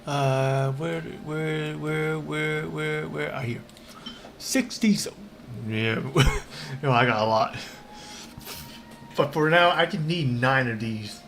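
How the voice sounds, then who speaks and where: A man chatting casually on a livestream while he plays a game, rambling in a halting, repetitive way. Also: fairly high pitch, creaky, fairly bright tone, slight background noise. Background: game music.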